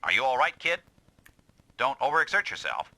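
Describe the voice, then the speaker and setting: Video game squad commander, authoritative and protective, animated mid-pitched voice, clipped orders, noisy track. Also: male voice, moderate pace. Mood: stern, urgent. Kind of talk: anime-style English video game voice acting.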